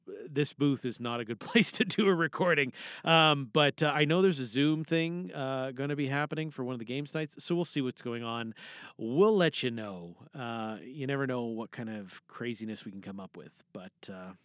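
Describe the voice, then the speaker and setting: A man's voice: medium pitch, moderate pace, fairly bright tone, no room sound. A man hosting a podcast in a casual, rambling, informal way, close on a microphone in a small booth. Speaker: podcast host. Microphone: boom microphone at a desk.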